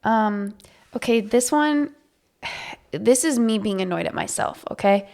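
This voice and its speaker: A woman's voice: mid-range voice, lively intonation, creaky, a little mellow. A woman co-hosting a podcast, chatting casually and bluntly about her pet peeves, often laughing. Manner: blunt, quick to complain, laughing. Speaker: podcast co-host. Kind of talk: casual chat.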